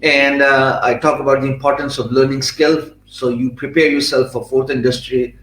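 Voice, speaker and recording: A man's voice: mid-range voice, little pitch movement, a bit bright, echoey room, low-quality recording. A middle-aged man from Pakistan with a Pakistani accent, speaking English as a second language after Urdu, answering interview questions fluently but with many fillers and restarts. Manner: fluent but filler-heavy, with frequent restarts and emphatic pauses. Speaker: guest.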